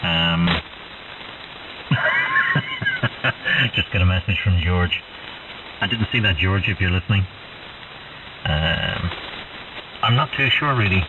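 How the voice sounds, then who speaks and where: A middle-aged man talks in a rambling, hesitant way as a radio amateur. His voice is deep and comes through a narrow shortwave link, with steady static around it. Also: slow, pauses often, recorded from a distance. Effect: shortwave radio transmission. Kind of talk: casual radio chat. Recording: muffled, narrow-band radio with clear static hiss.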